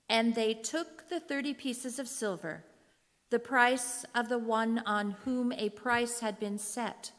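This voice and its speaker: A woman narrating scripture from a script for reader's theater, in a measured, solemn manner. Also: a little high, a bit bright. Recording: long hall reverb.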